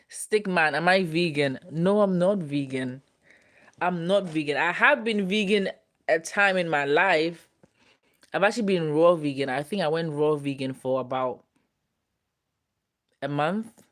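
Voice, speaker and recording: Playful young woman chatting on a livestream. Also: fairly low pitch. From Ghana. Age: 20s. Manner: playful, teasing, laughs often. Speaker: livestream host. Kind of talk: casual live chat reading viewer comments.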